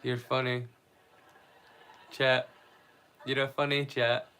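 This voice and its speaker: A man's voice: medium pitch, flat delivery, slow pace, bright, forceful tone. A man hosting a live stream in a casual, rambling, chatty way.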